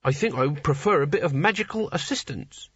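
An eager young would-be hero from a comic fantasy audiobook, acted out by a man. His voice sits high and moves about animatedly. He is polite, earnest and a touch tentative, with a husky edge. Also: expressive, brisk, no room sound, lo-fi sound. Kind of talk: audiobook character dialogue.

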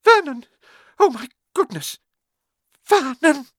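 An anxious middle-aged aunt from a storybook. Her voice is pitched high and quivers with fretful worry. The recording is dry and close. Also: big pitch swings, takes it slowly, no room sound, exaggerated voice. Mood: shocked. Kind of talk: acted audiobook dialogue.

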